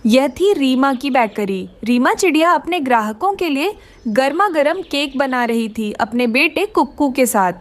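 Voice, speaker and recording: A woman narrating a children's cartoon story with expressive storytelling. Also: sits high, a little slow.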